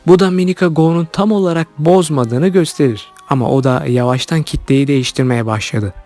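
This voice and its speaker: Higher-pitched man narrating commentary over music. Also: brisk, a little mellow. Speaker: YouTube host commenting on cartoons. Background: background music.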